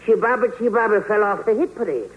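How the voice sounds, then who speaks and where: An actor playing a blustering, curmudgeonly old Irish bore with grandiose speech in a 1940s radio comedy. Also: male voice, sits high, animated, quick pace, a little hiss or hum. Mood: sour. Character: blustering Irish grouch, self-declared bitter old bore. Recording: thin, tinny old radio broadcast.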